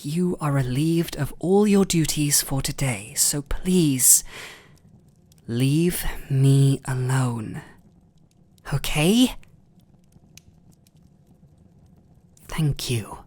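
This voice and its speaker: A man playing a noble, restrained vampire lord in an intimate ASMR roleplay, with a British accent and formal, hesitant, breathy delivery. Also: on the high side, takes it slowly, darkish tone, dry recording. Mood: curt, strained. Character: noble vampire lord, restrained, tormented, tender toward servant.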